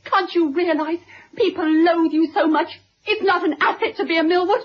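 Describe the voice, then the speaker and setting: An actress playing a defiant, wounded young daughter in a radio drama, speaking emphatically. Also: female voice, extremely high pitch, normal speed. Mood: angry. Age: young adult. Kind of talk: 1970s radio drama acting. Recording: muffled old radio recording.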